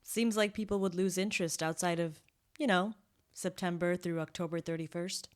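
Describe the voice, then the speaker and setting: An actress playing a doubtful government staffer, hesitant and mild in naturalistic meeting dialogue. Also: female voice, fairly low pitch, fairly even intonation, moderate pace, lightly acted. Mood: tentative, doubtful. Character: tentative, doubtful government staffer, adult woman.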